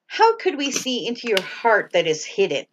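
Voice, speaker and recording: A woman's voice: medium pitch, huge pitch swings, normal speed, muffled recording, a little hiss or hum. A woman reading the taunting lines of a flock of jeering birds in a bedtime story. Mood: mocking.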